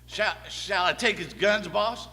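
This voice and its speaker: A man's voice: high-pitched, unhurried, sharp tone, slightly rough, heavily acted. A man playing a plain-spoken, wary cowboy in a live Western radio play, with folksy talk in an echoey hall. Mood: anxious. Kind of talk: live Old West radio play acting.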